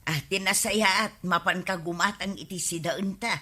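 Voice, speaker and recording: A defensive, quarrelsome village housewife in a radio comedy drama. She has an Ilocano accent and a forceful, bright voice at an average pitch. Her delivery is exclamatory and argumentative. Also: fairly even intonation, moderate pace. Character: defensive, sharp-tongued village housewife in debt.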